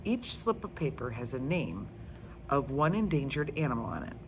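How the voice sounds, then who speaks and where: A woman's voice: a bass voice, big pitch swings, normal speed, background noise, pitched below the performer's own voice, full character voice. Woman reading as an earnest, emphatic male schoolteacher. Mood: earnest, instructive. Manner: instructive, emphatic. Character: earnest male schoolteacher addressing his class, encouraging. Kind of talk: children's storybook read-aloud.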